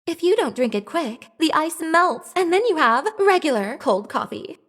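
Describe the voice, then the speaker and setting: High-pitched cutesy, sly witness. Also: female voice, expressive, normal speed, fairly bright tone. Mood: coy. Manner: coy, sassy, girlish. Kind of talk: video game voice dub. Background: music.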